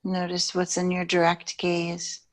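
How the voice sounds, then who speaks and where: A woman yoga teacher guiding a yoga nidra meditation in a soothing, unhurried way, leaving long pauses between phrases. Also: fairly low pitch, monotone.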